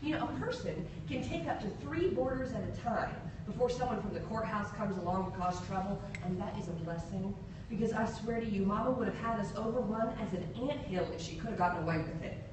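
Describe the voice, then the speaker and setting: A woman giving a theatrical, expressive live reading of a story at a podium in a hall. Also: medium pitch, brisk. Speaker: reader at a literary event. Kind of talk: dramatic live reading of a short story in character. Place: indoor hall at a podium, audience present. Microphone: far camera. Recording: echoey room from far off, noisy.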